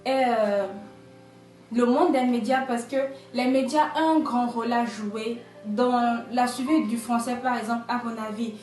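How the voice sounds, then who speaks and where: A woman's voice: fairly high pitch, fairly even intonation, a little slow, a little mellow. A woman from Benin, interviewed, explaining her points one by one in an emphatic, structured way and often checking in with "d'accord". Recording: echoey room, distant mic, faint music.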